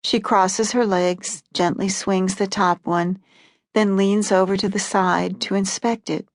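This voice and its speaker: A woman reading literary short fiction as an audiobook narrator in a calm, even storytelling manner. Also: mid-range voice, unhurried, no room sound, clean studio sound.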